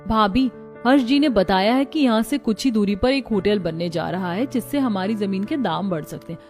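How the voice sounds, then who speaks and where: A woman's voice: medium pitch, normal speed, a little mellow, lightly acted. A woman voicing worried daughters-in-law in a cartoon family melodrama, earnest and plaintive. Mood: complaining. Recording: music bed behind the voice.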